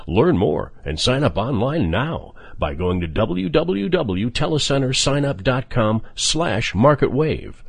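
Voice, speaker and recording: Lively man reading a radio ad. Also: a little slow, creaky, few pauses, slightly rough, dry recording. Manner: polished sales pitch. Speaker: ad announcer. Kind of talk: scripted radio commercial.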